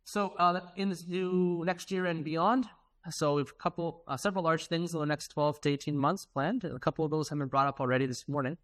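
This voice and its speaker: A middle-aged man presenting software to a conference in an informal, explanatory, conversational way. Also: a little high, steady tone, fairly quick.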